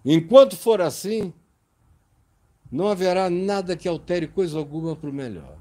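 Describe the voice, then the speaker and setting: A man's voice: high-pitched, very animated, moderate pace, mellow. A man preaching a sermon in an impassioned, emphatic way.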